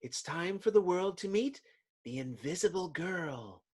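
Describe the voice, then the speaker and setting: A man's voice: high voice, lively intonation, unhurried, a little mellow, breathy edge, voice pushed up, heavily acted. A man voicing a resolute, secretive young woman superhero in a dramatic comic-book reading. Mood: solemn resolve.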